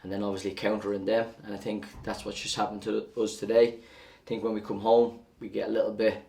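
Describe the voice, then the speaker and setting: Male football coach, low gravelly voice, matter-of-fact post-match reaction, slightly off-mic. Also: flat delivery, a little fast, warm tone, far from the mic.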